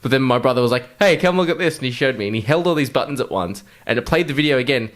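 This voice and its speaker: A man's voice: mid-range voice, lively intonation, racing, a bit bright. A man co-hosting a podcast, chatting casually and comically about films in a blunt, sweary way. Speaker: podcast co-host. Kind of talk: casual comedic film chat.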